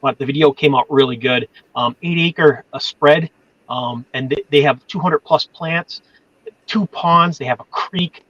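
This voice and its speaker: A man's voice: a little high, a little slow, fairly bright tone, no echo. Chatty Boston man, muffled dry sound. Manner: rambling, full of fillers and self-corrections. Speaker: channel moderator. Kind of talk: casual chat on a livestream.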